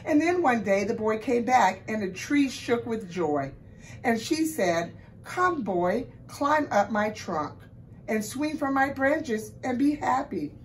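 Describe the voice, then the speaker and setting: A woman's voice: fairly high pitch, big pitch swings, slow pace. A woman reading a children's storybook aloud expressively, recorded from a little distance. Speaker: elementary school staff reader. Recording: slightly distant mic, faint noise.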